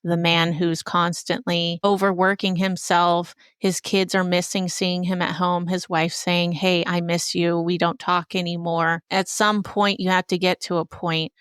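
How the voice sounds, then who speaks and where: Low, clear-voiced woman hosting a podcast. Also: level tone, measured pace, few pauses, fairly bright tone, no room sound, studio quality. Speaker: podcast host.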